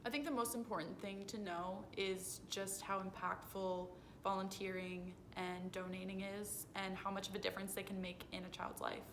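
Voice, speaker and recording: A young woman speaks at a middle pitch, answering interview questions in an earnest, explanatory way. She sounds rehearsed, like a spokesperson on camera. A faint noisy rumble sits behind her on a phone recording. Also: flat delivery, moderate pace, few pauses. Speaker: interviewee, foundation communications director.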